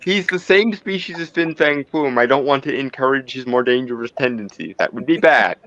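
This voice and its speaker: A man's voice: mid-range voice, a little fast, a bit bright, dry recording, dull audio. A man playing a tabletop roleplay game, chatting casually and hesitantly, restarting and repeating words. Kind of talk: casual chat.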